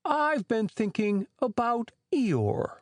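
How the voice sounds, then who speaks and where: A woman voicing a slow-witted, kindly, earnest toy bear in a children's storybook reading. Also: on the high side, sing-song, no room sound. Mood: thoughtful, earnest. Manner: ponderous, earnest.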